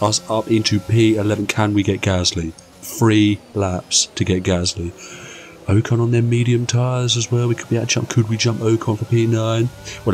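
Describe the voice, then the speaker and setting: Croaky lad calling a racing game. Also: male voice, fairly low pitch, a little hiss or hum. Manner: excitable, jokey play-by-play. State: croaky from a cold. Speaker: gaming channel host. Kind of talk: casual gameplay commentary.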